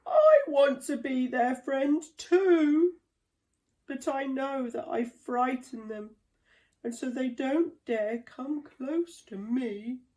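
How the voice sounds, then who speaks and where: A woman's voice: high-pitched, slow pace, dark tone, pitched above the performer's own voice, poor audio. Woman voicing a sad, plaintive lonely rhino. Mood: sad, longing. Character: lonely, kind, misunderstood young-at-heart rhino.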